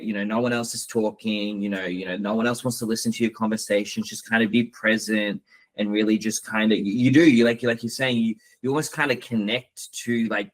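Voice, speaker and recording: A man talks in a casual podcast chat, rambling and halting, with a voice a little on the low side. He calls his own voice loud, and it carries easily. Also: poor audio. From Australia.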